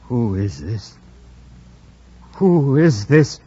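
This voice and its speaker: An actor playing an anguished, passionate blind master in a 1940s radio drama, with halting, fervent delivery. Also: male voice, mid-range voice, very animated, mellow, husky, exaggerated voice. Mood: agitated, suspicious. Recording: dull vintage radio recording.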